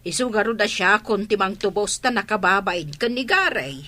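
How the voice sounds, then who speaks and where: A woman's voice: medium pitch, moderate pace, sharp tone, close to an everyday voice. A woman with a Filipino accent playing a worried, exasperated villager in a family radio drama, fretful and emphatic. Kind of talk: Ilocano radio drama acting.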